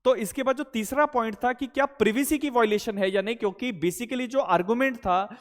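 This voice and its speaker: A man's voice: very high-pitched, fairly quick. A man with an Indian accent giving an explanatory law lecture, posing questions and answering them in a didactic way, mixing in English legal terms. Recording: long hall-like reverb.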